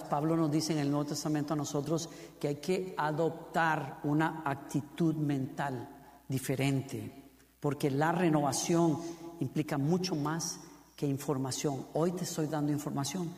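A man's voice: on the high side, darkish tone. A man from Costa Rica with a Costa Rican Spanish accent, preaching a sermon, asking the congregation questions and building to fervent emphasis with repeated phrases. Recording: long hall reverb.

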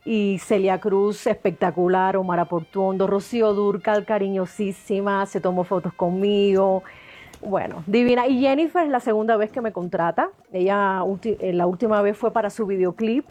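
A woman with a Cuban accent, a singer talking as a guest in an interview, warm and effusive, laughing often. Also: medium pitch, steady tone, normal speed. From Cuba. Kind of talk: interview chat.

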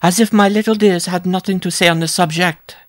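A man's voice: sits high, steady tone, a little fast, no echo, clean studio sound, voice pushed up, close to an everyday voice. A man voicing a matronly, self-important circus snake charmer, indignant and doting, as a theatrical audiobook character.

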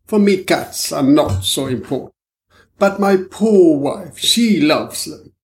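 A man reading an audiobook voices a nervous, shaken foreign gentleman who pleads haltingly. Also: a little high, expressive, voice pushed up. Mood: pleading.

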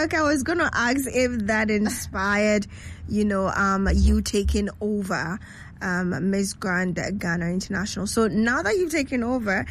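A woman's voice: mid-range voice, slight background noise. A woman hosting a live radio show from her studio, chatty and bubbly, laughing often as she interviews guests.

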